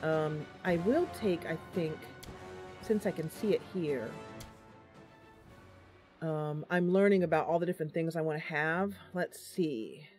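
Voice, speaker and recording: A woman's voice: low-pitched, measured pace, darkish tone, soft background music. A woman hosting a casual let's-play, thinking aloud through the game with frequent ums and talking directly to her viewers. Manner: playful, addresses viewers directly. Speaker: gaming channel host.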